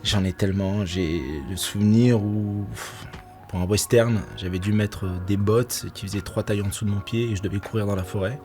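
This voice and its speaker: Middle-aged man, low voice, laughing often. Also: steady tone, moderate pace, warm tone, soft background music. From Portugal. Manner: laughs often, many restarts and fillers. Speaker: actor and nightclub security manager. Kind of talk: casual interview answers.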